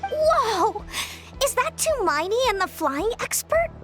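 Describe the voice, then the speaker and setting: A bold, excitable kid in a video game. The voice is extremely high and animated, with confident, drawn-out words and music behind it. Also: female voice, exaggerated voice. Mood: excited.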